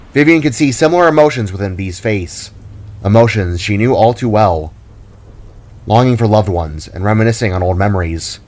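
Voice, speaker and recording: A man reading scripted story narration as the narrator. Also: lowish voice.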